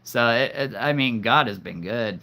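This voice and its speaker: A man's voice: mid-range voice, animated, normal speed, bright, forceful tone. A man hosting a live stream, rambling casually and making cheesy jokes to his viewers. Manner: rambling, jokey, punning.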